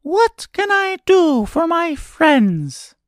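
A storyteller voicing a tiny, squeaky female mouse queen who is brisk, regal and helpful. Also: big pitch swings, no room sound, studio quality, heavily acted. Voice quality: squeaky little voice. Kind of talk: storybook character voice.

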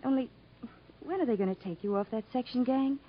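An actress playing a devoted, worried young country wife, earnest and emotional, in a 1950s radio drama. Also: female voice, a little high, fairly quick, slight background noise, lightly acted. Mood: affectionate. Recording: muffled 1950s radio broadcast recording.

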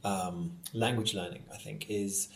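A man's voice: fairly low pitch, steady tone, unhurried, gravelly, slight background noise. Echoey, distant man explaining things thoughtfully. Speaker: interview guest, theatre director and actor. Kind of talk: thoughtful conversational interview. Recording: roomy, echoey, distant from mic.

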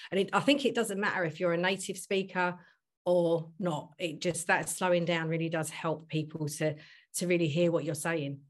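A middle-aged woman hosting a podcast, chatty and self-deprecating, sounding a little husky today. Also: fairly low pitch, fairly even intonation, normal speed. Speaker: podcast host. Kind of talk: podcast intro and casual chat.

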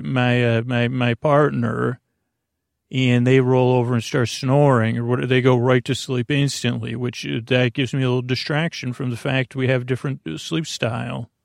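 A man hosting a bedtime podcast in a rambling, halting, soothing monologue full of digressions. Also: mid-range voice, gravelly, no echo, clean studio sound. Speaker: podcast host.

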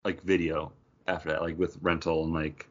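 A man's voice: rumbling low, little pitch movement, normal speed. A talkative, enthusiastic man bantering casually as a podcast panelist. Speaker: podcast panelist and quiz contestant. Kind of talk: casual chatty banter about films. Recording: dull lo-fi podcast audio.

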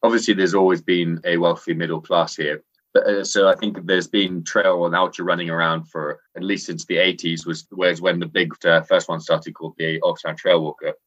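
Scottish man sounding English, very low voice, lively chatty guest, slightly muffled call audio. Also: normal speed. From Scotland. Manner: chatty, enthusiastic, frequent fillers. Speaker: interview guest, sports editor. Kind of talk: casual interview over internet call.

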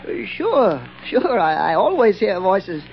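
A man's voice: sits high, very animated, takes it slowly, gravelly, full character voice. An actor playing an unhinged, murderous night watchman in an old radio drama, stammering and giggling in a nervous, childlike way. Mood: agitated, giggly. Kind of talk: 1940s radio acting. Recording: muffled old-time radio recording.

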